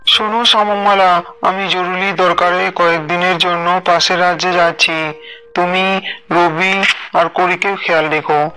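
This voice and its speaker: A man's voice: sits high, little pitch movement, unhurried, bright, forceful tone, a little hiss or hum, low-quality recording. A man voicing an earnest, firm and caring father creature in a cartoon story. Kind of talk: dramatized cartoon story acting.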